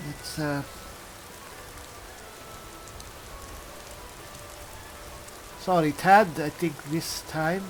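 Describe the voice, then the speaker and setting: Man playing a slow-spoken, wry high elf spellsword. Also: steady tone, noisy background, lightly acted. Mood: muttering. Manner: dry, sardonic, halting, deliberate delivery. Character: male high elf spellsword mastermind, wry, reluctant leader. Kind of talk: in-character video game roleplay.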